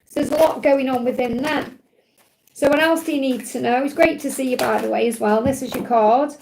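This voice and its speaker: A woman hosting a live spiritual card reading, her voice croaky from overwork, reassuring and repeating her key phrases. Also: high-pitched, brisk, a little mellow, slight background noise, poor audio. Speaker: spiritual card reader, livestream host.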